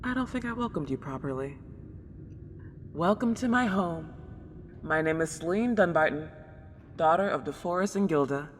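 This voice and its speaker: Woman acting an excitable ghost girl in long reverb. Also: low-pitched, normal speed, long pauses. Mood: joyous, gracious. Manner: old-fashioned genteel wording, gushing wonder. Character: excitable, polite century-old young ghost girl. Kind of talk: ASMR roleplay acting. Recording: long reverb, clear background noise.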